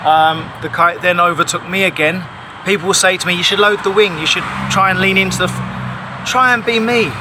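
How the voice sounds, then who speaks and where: A man's voice: sits high, steady tone, brisk. A man in his forties giving an emphatic, insistent explanation to camera, recorded on a phone outdoors. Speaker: paraglider pilot vlogging. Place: outdoors under a tarpaulin canopy. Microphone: phone at arm's length. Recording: clear outdoor ambient noise.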